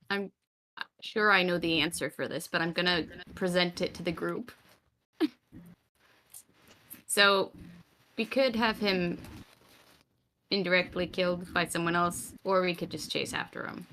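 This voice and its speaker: A woman's voice: lowish voice, steady tone, a little slow, pauses often, sharp tone, a little hiss or hum, poor audio. A woman chatting casually as a player in a tabletop roleplay game.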